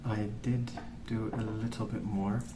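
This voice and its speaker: A man rambling softly in an ASMR style, hushed, hesitant and unhurried. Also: lowish voice, flat delivery. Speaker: ASMR video host. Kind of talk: soft-spoken ASMR rambling. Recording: roomy, distant mic with clear noise.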